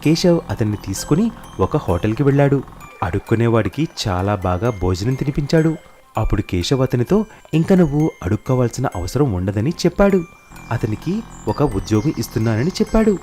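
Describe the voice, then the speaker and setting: A man narrates a scripted moral tale. His mid-pitched voice moves up and down in a very animated way. Soft music runs behind the narration. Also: normal speed.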